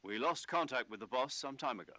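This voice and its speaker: Un ufficiale autorevole dalla voce squillante. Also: male voice, mid-range voice, animated, pushed and bright, no room sound. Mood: brisk, commanding. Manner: brisk, authoritative. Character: brisk, commanding military officer directing a mission. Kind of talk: video game voice acting.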